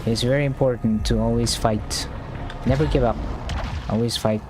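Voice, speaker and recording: A man's voice: mid-range voice, fairly even intonation, moderate pace. Man, live game streamer, stuttery, repeats himself, game music under him. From Norway. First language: Norwegian. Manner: stammering, repeats words, thinking aloud. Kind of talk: live gameplay commentary.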